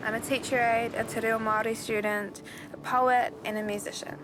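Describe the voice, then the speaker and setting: A woman's voice: fairly high pitch, little pitch movement, brisk, background noise. A young woman in her twenties with a New Zealand accent, telling her own story in a candid, conversational, reflective way. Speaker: documentary subject, poet and musician. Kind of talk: reflective personal interview narration. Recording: loud music under the voice. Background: loud music bed.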